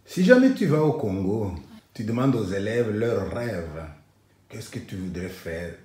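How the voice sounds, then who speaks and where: A man with a low, mellow voice asks his guest calm interview questions. The room is echoey and gives his voice a roomy sound. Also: a little slow. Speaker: interviewer, channel host.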